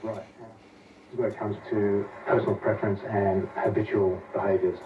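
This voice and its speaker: A man's voice: on the low side, flat delivery, normal speed, background noise. A man explaining things conversationally as an expert guest in a radio interview, heard muffled and distant through radio playback.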